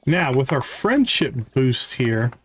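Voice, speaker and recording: Slow-paced man musing over a game. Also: medium pitch, sing-song, slightly rough. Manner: musing, talks in short fragments to himself. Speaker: game streamer. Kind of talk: casual live gameplay commentary, thinking aloud.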